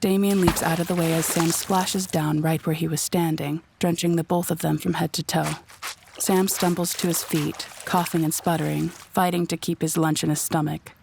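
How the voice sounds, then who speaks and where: A woman's voice: deep voice, little pitch movement, normal speed, creaky, background noise. A woman narrating a scripted audio drama in a descriptive storytelling manner.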